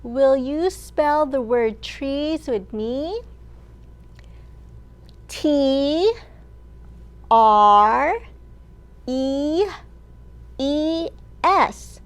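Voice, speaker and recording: A woman librarian hosting a children's storytime, reading aloud in simple, deliberate phrases meant for young children. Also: sits high, expressive.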